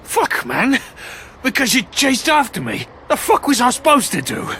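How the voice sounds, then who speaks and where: An actor playing a cagey, wisecracking hired investigator in a noir video game, with a slangy, streetwise manner. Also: male voice, very high voice, wildly swooping pitch, normal speed, bright, forceful tone, slight background noise, exaggerated voice. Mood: defensive, agitated.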